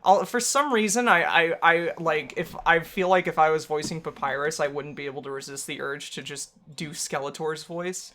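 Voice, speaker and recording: A woman's voice: low voice, moderate pace, hardly any pauses, fairly bright tone. A woman co-hosting a game playthrough with casual, joking commentary and some read-aloud narration. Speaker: gaming channel co-host.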